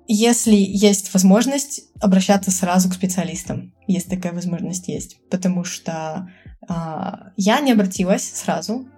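A woman in casual conversation as a podcast guest. She speaks chattily, laughs often, fills pauses with hesitation sounds and acts out other people's lines. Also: mid-range voice, dark tone, husky. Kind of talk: casual chat.

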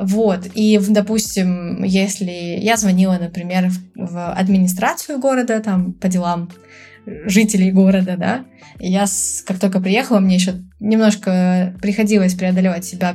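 A woman chats easily as a podcast guest, in a mid-range voice. She laughs often and fills her pauses with hesitation sounds. She brings the people in her stories to life by acting out their lines. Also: medium pitch, fairly even intonation, mellow, faint music behind. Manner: chatty, laughs often, many filler sounds; acts out people's lines in quotes. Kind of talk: casual chat.

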